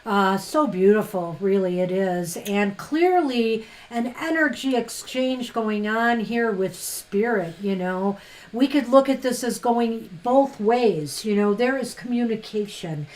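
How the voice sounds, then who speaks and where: A woman co-hosting a live-stream tarot reading, chatty and fast-talking, laughing often. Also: fairly high pitch, darkish tone, low-quality recording. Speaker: tarot reader co-host.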